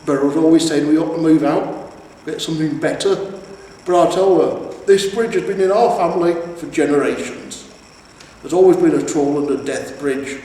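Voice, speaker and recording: A man's voice: on the high side, moderate pace, mellow, natural voice. A man voicing a proud, echoey troll father. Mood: proud, excited. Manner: boastful, earnest, colloquial. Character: proud, eager male troll, henpecked family father. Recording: echoey reverberant room, distant mic, faint noise.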